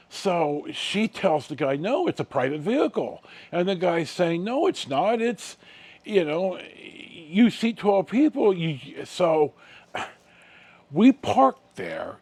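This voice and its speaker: Older American male storyteller, slightly high-pitched and very animated, casual, halting, wandering delivery. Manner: animated, halting and digressive, acts out dialogue. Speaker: vlogger telling personal stories.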